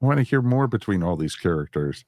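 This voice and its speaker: A man co-hosting a podcast, giving his casual thoughts in halting, looping phrases. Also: low voice, sing-song, darkish tone, dry recording, studio quality. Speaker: podcast co-host. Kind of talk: casual, conversational review.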